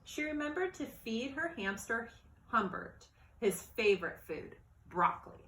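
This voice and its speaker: A woman reading a children's storybook aloud in an expressive, animated narrating style. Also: fairly high pitch, measured pace, a little hiss or hum. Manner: expressive, acts out dialogue and exclamations. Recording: roomy, echoey sound.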